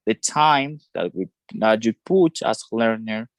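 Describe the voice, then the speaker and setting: A man's voice: on the low side, expressive, measured pace, no echo. Hesitant young man presenting in class. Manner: hesitant, many fillers and restarts. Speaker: student presenter. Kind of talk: class presentation over video call.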